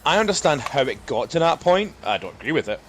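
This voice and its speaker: A man's voice: fairly high pitch, big pitch swings, fast talker, fairly bright tone, a little hiss or hum. A man in his thirties co-hosting a casual podcast, rambling through jokey, opinionated, sweary banter. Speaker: podcast co-host.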